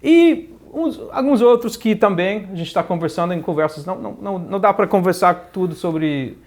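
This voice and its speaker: Brief: a man as a boastful American impostor, cocky, a touch high-pitched, crude comedy sketch. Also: lively intonation, moderate pace, a little mellow. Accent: American English. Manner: smug, brags, crude innuendo. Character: boastful fake Trump, later a scheming rival gringo.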